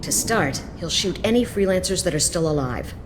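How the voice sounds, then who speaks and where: A jaded female mercenary lieutenant, acted by a woman for a video game. The voice is low, frank and businesslike with some bitterness, over clear ambient noise. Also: animated, moderate pace, close to an everyday voice.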